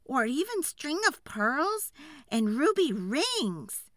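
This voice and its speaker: Woman to play woodcutter's wife, storybook: high, piercing, bright, exasperated scold. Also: sing-song, no echo, studio quality, heavily acted. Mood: dreamy. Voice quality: shrill, high-pitched. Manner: scolding, emphatic, drawn-out words. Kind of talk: storybook character voice.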